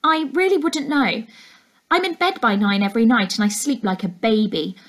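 A woman's voice: on the high side, normal speed, close to an everyday voice. A woman reading the part of a guarded schoolgirl who is politely non-committal, in a children's story. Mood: feigned politeness. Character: guarded schoolgirl politely hiding her dislike.

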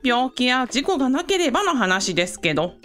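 A woman plays a composed female assistant in a radio drama. Her voice is fairly high and melodic in range, politely professional, over faint music. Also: sing-song, a little fast, fairly bright tone. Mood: explanatory, professional. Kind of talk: scripted radio drama acting.

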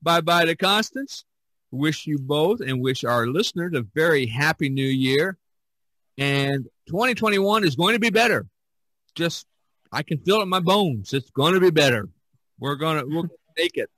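A man hosting a casual podcast discussion, speaking in halting, hesitant phrases. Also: a little high, expressive, a bit bright, no echo, low-quality recording. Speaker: podcast host.

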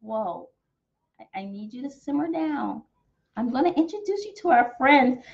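A woman's voice: high voice, animated, normal speed, gravelly. A young American woman reading a picture book aloud to small children in a theatrical, sing-song, exaggerated way. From Texas, United States. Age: young adult. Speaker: children's storytime teacher. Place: small decorated room at home, indoors. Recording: dull, slightly muffled webcam sound.

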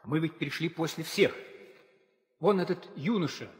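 An actor playing a calm, modest heroic prince who speaks soothingly in a 1960s theatrical audio drama. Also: male voice, a little high, unhurried, close to an everyday voice. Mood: calm, restraining. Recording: reverberant hall sound.

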